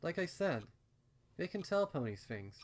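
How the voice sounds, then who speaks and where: A man's voice: fairly high pitch, very animated, normal speed, voice pushed up. Man voicing a stammering, nervous young mare. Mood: uneasy, rambling. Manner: hesitant, stammering, earnest. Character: rambling, nervous young female pony with uncanny secrets. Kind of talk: acted fan fiction reading.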